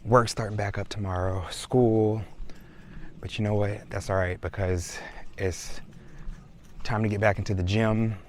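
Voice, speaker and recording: A man's voice: fairly low pitch, measured pace, pauses often, slight background noise. A man, chatting casually to his viewers in a vlog. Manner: chatty, relaxed, addresses viewers as y'all. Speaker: vlogger. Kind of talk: casual vlog talk to camera.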